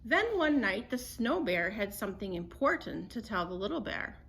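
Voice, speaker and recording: A woman storyteller reading a children's picture book aloud in an expressive, unhurried way. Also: medium pitch, gravelly.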